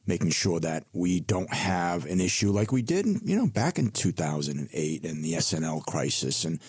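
A man's voice: low-pitched, expressive, normal speed, breathy edge, no echo. A man co-hosting a retirement radio show as a financial advisor, talking in a conversational, reassuring way and leaning hard on key figures.

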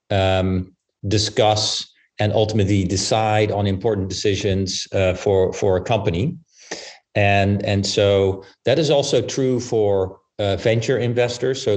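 A man giving explanatory answers as an interview guest, halting and repeating words as he goes. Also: lowish voice, darkish tone. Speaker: podcast guest, investment firm managing partner.

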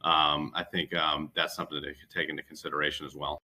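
A man with a strikingly deep voice that is also very bright and forceful. He gives career advice conversationally, as an interview guest, and often circles back to rephrase what he is saying. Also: level tone, fast talker, breathy edge.

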